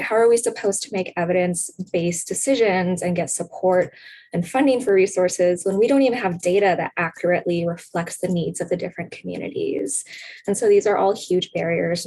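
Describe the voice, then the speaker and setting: A woman's voice: lowish voice, gravelly, a little mellow, breathy edge, rough recording. A woman moderating a webinar panel, summing up points in an affirming, articulate way and posing questions. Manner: affirming, articulate, checks in with "right".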